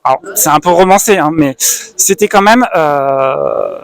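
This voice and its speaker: A man who illustrates books, chatting at a convention stand in a thoughtful way with frequent pauses and fillers. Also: a little high, huge pitch swings, vocal fry. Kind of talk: casual interview chat. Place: busy convention hall.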